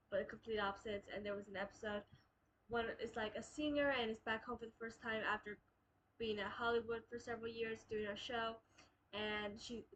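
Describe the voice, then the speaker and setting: Young woman sounding stuffed up w a cold, kinda high voice, lots of ums, chatty rambling vlog. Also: a bit bright, low-quality recording. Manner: rambling, many ums and restarts. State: nasal from a cold. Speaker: booktube host. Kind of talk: casual monthly reading wrap-up.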